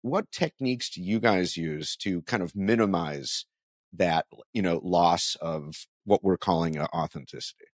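A man's voice: low voice, measured pace, no echo, clean studio sound. A man hosting an interview podcast in a conversational way, thinking aloud and rephrasing his questions as he goes.

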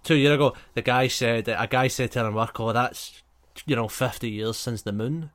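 Man, 20s, podcast co-host, casual banter, mid-pitched voice, very little room sound. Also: moderate pace, fairly bright tone, dry recording.

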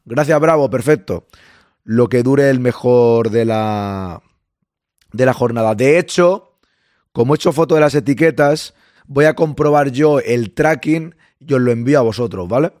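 A man with a Spain Spanish accent hosting a casual livestream, a bit hoarse, chatting with viewers and greeting them by name. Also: mid-range voice, a little mellow, dry recording, studio quality. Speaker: livestream host. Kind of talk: casual live chat with viewers.

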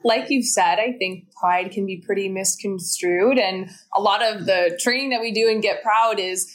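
Thirties woman, interview guest, earnest and encouraging, mid-pitched, talks in flowing explanations. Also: normal speed. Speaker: guest, founder of a mental fitness studio. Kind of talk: interview conversation.

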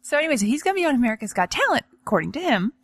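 A chatty woman co-hosting a relaxed podcast, winding through a long story. She laughs often, trails off and restarts her sentences. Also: high voice, very fast, a little hiss or hum. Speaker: real estate agent and podcast host. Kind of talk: casual chat.

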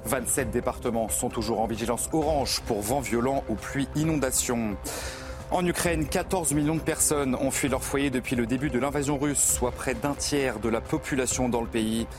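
A newsreader delivers the headlines in a crisp, measured voice of medium pitch. A music bed plays clearly underneath him. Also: male voice. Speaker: news headlines reader. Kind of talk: read news bulletin.

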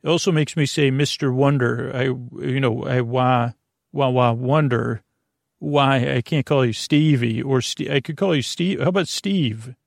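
A man hosting a bedtime podcast in a creaky voice, wandering haltingly through tangents and tripping over his words. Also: mid-range voice, no room sound, clean studio sound. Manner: meandering, halting, digressive, stumbling over words. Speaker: podcast host. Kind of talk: rambling bedtime podcast monologue.

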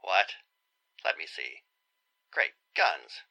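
A man as an agreeable gentleman in an old-time radio play. His voice is very sharp and creaky, with wide swings in pitch. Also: big pitch swings, very slow, heavily acted. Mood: startled. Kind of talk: 1940s radio drama acting.

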